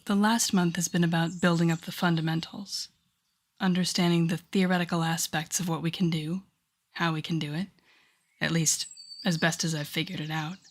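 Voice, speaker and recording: A woman's voice: on the low side, flat delivery, moderate pace. A woman playing a wry, teasing telepath villain who mentors a rookie hero, in an intimate, conversational audio roleplay. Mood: musing, wry. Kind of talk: intimate second-person audio roleplay acting.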